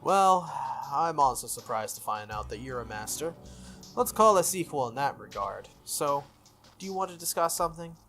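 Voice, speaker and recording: A man's voice: on the high side, expressive, moderate pace, pushed and bright, soft background music. A man voicing a smug, falsely friendly teenage schoolboy schemer in a visual novel, with a breezy, needling manner. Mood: persuasive.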